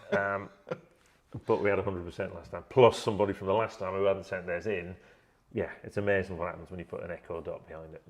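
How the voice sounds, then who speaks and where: A man's voice: low-pitched, fairly even intonation, quick pace, dull audio. A man co-hosting a live stream, chatting casually with dry, teasing quips.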